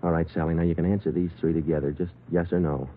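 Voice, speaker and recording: A man playing a terse, steady police sergeant in an old radio crime drama, clipped and deadpan. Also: sits low, fast talker, slight background noise, natural voice. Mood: patient, steady. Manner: clipped, matter-of-fact, deadpan. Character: terse, steady police sergeant detective narrating his case. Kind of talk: 1950s radio crime drama acting and narration. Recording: muffled old radio recording with music.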